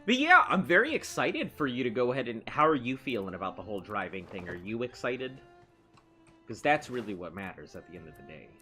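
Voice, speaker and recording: Bright-voiced man playing a game. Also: medium pitch, big pitch swings, brisk, faint music behind. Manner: playful, rambling, chatting with someone in the room. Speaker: let's play host. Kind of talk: casual gameplay commentary.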